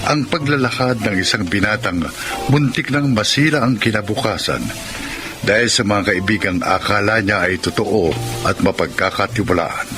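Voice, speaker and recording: A man's voice: lowish voice, normal speed. A man announcing credits and introducing the next story of a radio drama, formal and solemn. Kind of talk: scripted narration. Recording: narration over loud music.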